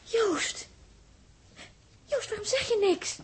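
A nervy young girl in an old sci-fi radio play, really high voice, plaintive and hesitant. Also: expressive, takes it slowly, exaggerated voice. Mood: tearful pleading. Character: anxious young girl on a spaceship crew. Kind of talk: 1960s Dutch radio drama acting.

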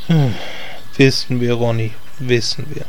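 A man's voice: medium pitch, steady tone, a little slow, slight background noise, natural voice. A man playing a no-nonsense leader of fantasy heroes in a comic audio drama, dry and matter-of-fact.

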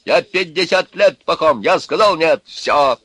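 A man's voice: a little high, unhurried, pushed and bright, husky, no echo, pitched above the performer's own voice, exaggerated voice. A man reading aloud, voicing a stubborn electrician of about fifty who turns people down curtly. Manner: curt, stubborn refusals. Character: older stubborn male electrician.

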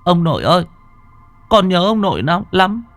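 A man voicing a frightened, naive young boy who calls out high and pleading. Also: fairly quick, dry recording, faint music behind, close to an everyday voice. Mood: crying out. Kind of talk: audio horror storytelling.

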